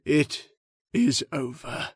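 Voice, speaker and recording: A man's voice: a little high, big pitch swings, very slow, dry recording, clean studio sound, heavily acted. A man acting a devout, fearful clergyman in a radio horror drama, with solemn, declamatory prayer. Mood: grave, righteous.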